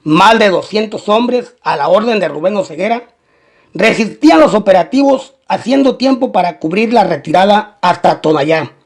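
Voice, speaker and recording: A man's voice: high-pitched, lively intonation, normal speed. A man reading a scripted documentary-style crime narration.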